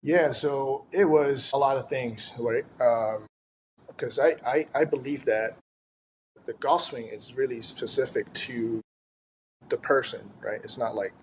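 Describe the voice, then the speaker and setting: A man's voice: mid-range voice, unhurried, slight background noise, low-quality recording. A man from Thailand, a sports coach chatting casually as an interview guest. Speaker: golf coach, podcast guest.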